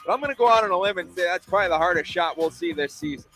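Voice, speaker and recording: A man calls hockey as a play-by-play announcer in a high, energetic voice. His commentary is excited and live, with music in the sound behind him. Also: rapid-fire, low-quality recording. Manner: energetic, rising to shouts at big moments.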